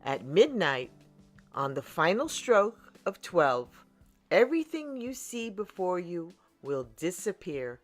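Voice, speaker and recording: A kindly, magical storybook fairy godmother, acted out by a woman reader. She speaks slowly and solemnly, weighing each word, over faint music. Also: fairly low pitch, very animated. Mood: solemn warning.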